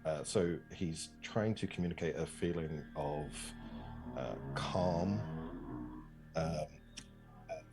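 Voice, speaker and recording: Low-voiced man thinking aloud, hesitant. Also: slow, long pauses, over loud music, rough recording. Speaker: tabletop game player. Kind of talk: casual game-table talk.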